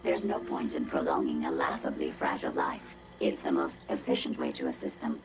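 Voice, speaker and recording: Haughty female game robot: extremely deep, machine-filtered, sardonic and wordy, coldly murderous, with clear noise underneath. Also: wildly swooping pitch, fairly quick, full character voice. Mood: smug, sardonic. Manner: sardonic, pompous, precise, wordy. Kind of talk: video game cutscene acting.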